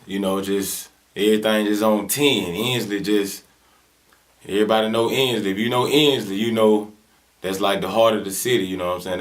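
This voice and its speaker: A man in his twenties with a Southern US accent, a rapper answering interview questions in laid-back, slangy talk full of fillers. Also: sits low. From Birmingham, Alabama. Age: 20s.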